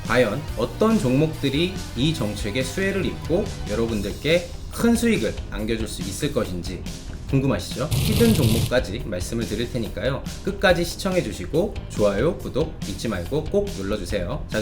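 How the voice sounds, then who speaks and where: A man hosting a stock review show, addressing online viewers in polite, formal speech with drawn-out hesitations between phrases. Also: mid-range voice.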